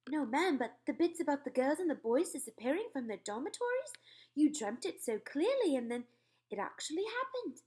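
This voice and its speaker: A woman's voice: high voice, very animated, moderate pace, darkish tone, pitched above the performer's own voice. A woman reading aloud and voicing a timid, deferential maid who quavers and keeps saying ma'am. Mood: earnest.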